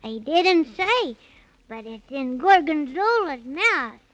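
Plucky six-year-old boy for 1930s children's radio drama: very high, animated, earnest, childlike. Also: takes it slowly, full character voice. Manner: childlike, earnest scolding and pleading.

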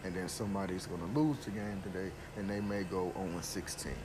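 A man with a fairly low voice gives his picks offhand and bluntly, stopping to correct himself as he goes. A clear hum of air conditioning and passing traffic sits under his voice. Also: fairly even intonation, brisk. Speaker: sports vlogger. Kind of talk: casual spoken predictions.

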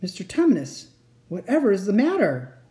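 A woman's voice: medium pitch, huge pitch swings, moderate pace, dark tone, voice pushed up. A woman voicing an earnest, kind-hearted young girl who is polite and pleading, in a storybook reading. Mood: alarmed.

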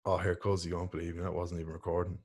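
A man's voice: low voice, level tone, racing, a little mellow, breathy edge. A young man co-hosting a podcast, rambling and joking with a lot of swearing in loose banter. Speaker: podcast co-host. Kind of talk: casual chat.

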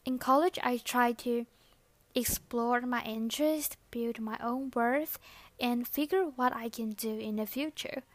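A young woman whose first language is Chinese, hosting a personal podcast and reflecting on her life in a soft-spoken, earnest and lightly scripted way. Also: on the high side, measured pace, no room sound. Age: young adult. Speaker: podcast host.

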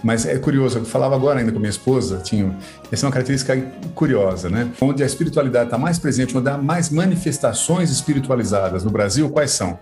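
Paulista guy in his 50s, podcast guest, talks real fast, chatty and slangy, bit of music under it. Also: medium pitch, lively intonation, darkish tone. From Sorocaba, São Paulo state, Brazil. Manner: chatty, colloquial, frequent tag questions. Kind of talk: casual conversational explaining.

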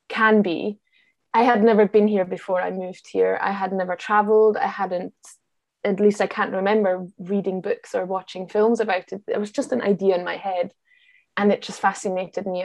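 Gravelly-voiced woman with a Scottish accent. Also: mid-range voice, a little mellow, dry recording. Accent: Scottish, picked up in Glasgow. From Austria. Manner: chatty and enthusiastic, laughs often. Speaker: guest, travel writer and podcaster. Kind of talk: casual interview chat.

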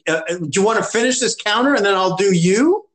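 High-voiced man, halting and easygoing. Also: lively intonation, fairly bright tone. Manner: halting, many restarts, laughs easily. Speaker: podcast co-host. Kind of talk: casual chat.

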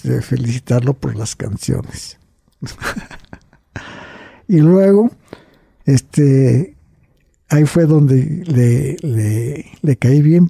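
A man's voice: mid-range voice, creaky, warm tone, husky, no echo. An older man recounting personal memories in conversation, often adding "right?" and "you see?" and restarting his phrases.

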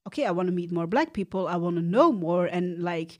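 A woman's voice: on the low side, animated, brisk, darkish tone. A woman from Belgium with a Belgian Dutch accent and Dutch as her first language, telling her own story casually and animatedly, acting out quoted reactions. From Belgium, born in Rwanda. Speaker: podcast guest sharing personal experience.

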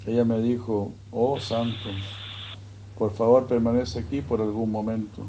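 Slow, dark-voiced male preacher. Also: medium pitch, little pitch movement, highs cut off, slight background noise. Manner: slow, measured storytelling with pauses. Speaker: religious speaker. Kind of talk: sermon retelling scripture.

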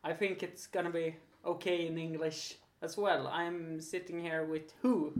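A woman's voice: on the low side, steady tone, a little slow, reverberant. A woman with a Swedish accent hosting a casual podcast interview, hesitant with many fillers and easy laughs. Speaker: podcast host.

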